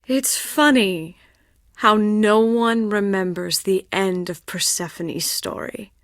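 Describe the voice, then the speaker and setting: A woman voicing a coldly gloating, scheming video game villainess, deliberate and smug, at times dropping to a breathy hush. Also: mid-range voice, no room sound, studio quality. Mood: menacing, storytelling. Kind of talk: dramatic video game voice acting.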